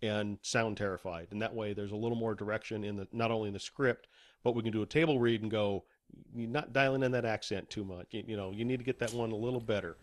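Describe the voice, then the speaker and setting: A man's voice: on the low side, steady tone, quick pace, no echo. A man talking casually as an author guest in an interview, explaining things with acted-out examples.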